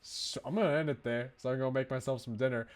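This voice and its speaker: A man's voice: mid-range voice, fast talker. A man chatting casually on a livestream as a game streamer, enthusiastic and informal in how he talks.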